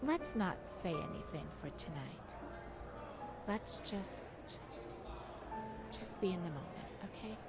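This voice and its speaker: A woman's voice: lowish voice, lively intonation, slow, long pauses. A woman playing a playful, shyly romantic lady thief in an ASMR audio roleplay, with a teasing, hesitant manner. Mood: tender, hushed. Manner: teasing, hesitant, breaking into soft laughs. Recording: music behind the voice.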